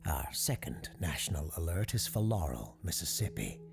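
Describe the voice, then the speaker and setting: Deep, gravelly paranormal radio host. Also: male voice, very animated, full character voice. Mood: grave, measured. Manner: measured, formal, polite. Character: calm, courteous parapsychologist radio call-in host. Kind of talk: scripted radio show hosting, audio drama. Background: music under the voice.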